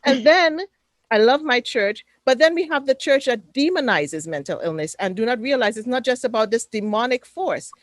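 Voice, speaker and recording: A woman's voice: on the high side, expressive, normal speed, no room sound. Passionate woman with a Trinidadian lilt. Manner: passionate, emphatic, preacher-like cadence. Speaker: panelist, mental fitness coach. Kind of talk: webinar panel discussion.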